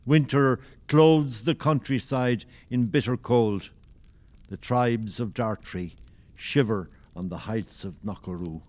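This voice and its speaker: A man playing the solemn narrator of a historical audio play, with grave, measured dramatic delivery. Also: medium pitch, a little mellow, lightly acted.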